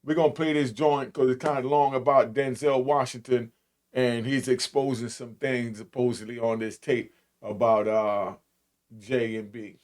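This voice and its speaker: A man's voice: mid-range voice, fairly even intonation, moderate pace, a little mellow. Casual, slangy man doing reaction commentary. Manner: informal, slangy, emphatic. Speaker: host of an online commentary channel.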